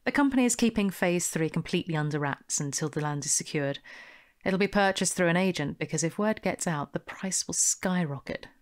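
A woman playing a smooth, bossy con artist with a brisk, persuasive manner in an audio drama. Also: on the low side, expressive, natural voice. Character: smooth, bossy female con artist posing as investment promoter.

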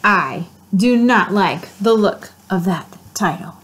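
A woman in her thirties, a preschool teacher, reading a picture book aloud to young children in a gentle, expressive way, recorded at close range on a webcam at home. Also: mid-range voice, slight background noise, poor audio. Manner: gentle and expressive, slow delivery. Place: room at home, indoors.

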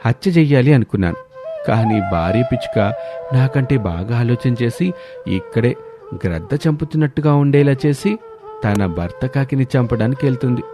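A man's voice: mid-range voice, expressive, moderate pace, over music, close to an everyday voice. A man voicing a scheming lover sparrow in a bird cartoon, with a dramatic, conspiring manner. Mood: calculating.

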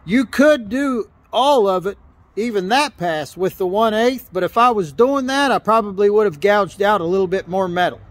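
A man's voice: high-pitched, moderate pace, no room sound. A middle-aged man giving a hands-on welding tutorial outdoors beside a truck, plain-spoken and often restarting his phrases as he explains. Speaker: welder teaching on camera. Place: outdoors by a log truck in a gravel yard.

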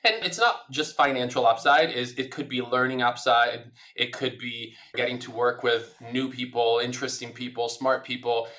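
A man's voice: medium pitch, fairly even intonation, normal speed, few pauses, pushed and bright. A middle-aged man from Italy, a native Italian speaker, giving long, thoughtful interview answers full of fillers. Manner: thoughtful, discursive, many fillers. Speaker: podcast guest.

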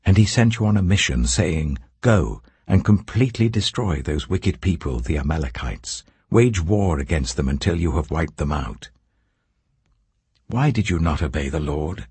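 A man's voice: a bass voice, a little mellow, husky, dry recording, full character voice. An older man voicing Samuel, a stern aged male prophet, in a grave, rebuking manner. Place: indoor wood-panelled study.

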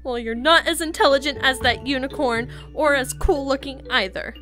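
A woman's voice: high-pitched, moderate pace, pushed and bright, soft background music. A woman acting as a mean, envious dog owner, scornful and snide, in a game roleplay.

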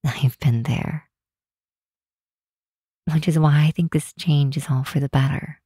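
A woman playing a regal, protective demon queen in a comforting ASMR roleplay, speaking softly and soothingly in a deliberate, hushed way. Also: low voice, fairly even intonation, no room sound, clean studio sound. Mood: gentle, consoling. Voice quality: soft, breathy, hushed.

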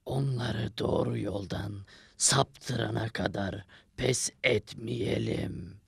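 An actor playing an obedient, wicked devil servant with a gravelly rasp in a religious cartoon. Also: male voice, fairly low pitch, steady tone, slow pace, no echo, exaggerated voice. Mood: servile, menacing. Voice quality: gravelly, raspy. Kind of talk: dubbed religious cartoon acting.

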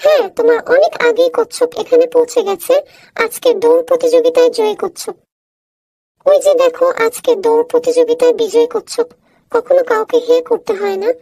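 Little cartoon animal referee: extremely high, creaky voice, instructive and announcing. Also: female voice, steady tone, a little fast, mellow, no room sound. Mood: triumphant, instructive. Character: small high-voiced animal race judge, gently moralizing. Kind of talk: children's cartoon dubbing.

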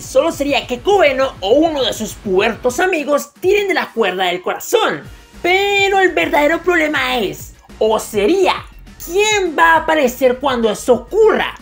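Lively high-voiced woman reviewing manga. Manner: irreverent, crude jokes and slang. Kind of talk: scripted comedic manga review voice-over. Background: faint background music.

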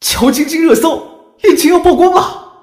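A woman's voice: very high voice, normal speed, darkish tone, echoey room. An actress playing a curious, starry-eyed female gossip fan in an audio drama, eager and exclamatory. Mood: breathless gossip.

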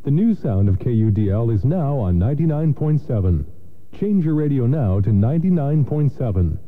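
A man delivers scripted radio promos as a station announcer. His voice sits at a middle pitch, and the sound is narrow and lo-fi, like a taped FM broadcast. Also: sing-song, normal speed. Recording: band-limited FM radio aircheck.

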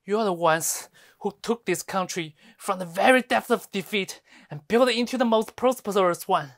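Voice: mid-range voice, moderate pace, lightly acted. An actor as a scathing, theatrical lawyer delivering a monologue. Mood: stirring appeal. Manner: scathing, rhetorical, swinging from hushed to ranting. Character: fiery, sardonic lawyer berating elderly villagers in a monologue. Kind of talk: theatrical dramatic monologue performance.

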